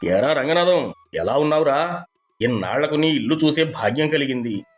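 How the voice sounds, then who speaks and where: A man's voice: fairly high pitch, lively intonation, normal speed, faint music behind, natural voice. A man playing a genial middle-aged city contractor, talking familiarly as an old friend in a cartoon story.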